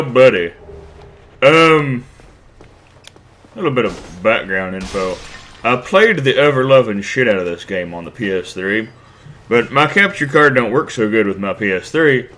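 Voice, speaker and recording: A man's voice: mid-range voice, big pitch swings, unhurried, a bit bright. A man commenting casually on his gameplay, rambling, crude and self-deprecating, a bit stuffed up from a cold. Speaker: let's play host.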